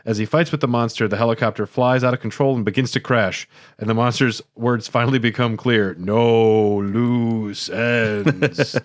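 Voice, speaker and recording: Mid-pitched man, dry casual podcast voice. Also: moderate pace, no room sound. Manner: joking, deadpan quips. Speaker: podcast co-host. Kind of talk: casual podcast chat with read-out plot summaries.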